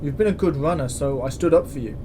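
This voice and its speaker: A man's voice: a little high, fast, lightly acted. A man playing a stern, exasperated military commandant in a science-fiction audio drama, speaking with curt authority. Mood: exasperated, accusing. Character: stern, exasperated male space station commandant. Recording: clear background ambience noise.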